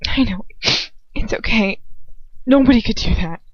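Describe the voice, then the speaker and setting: A tender but firm young woman, as a woman reader plays her, speaking in a hushed, protective tone to soothe a friend. The storybook reading sounds dry and slightly muffled. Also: on the high side, animated, moderate pace, breathy edge, no echo, voice pushed up. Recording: dull lo-fi home recording.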